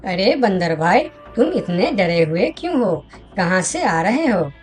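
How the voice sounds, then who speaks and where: A woman voicing friendly talking jungle animals in expressive dialogue for a children's cartoon. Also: mid-range voice, measured pace, soft background music. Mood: concerned.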